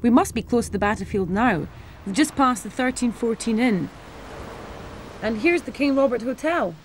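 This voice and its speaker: A woman's voice: fairly high pitch, expressive, normal speed, slight background noise. A Scottish woman presenting in the style of scripted educational television narration.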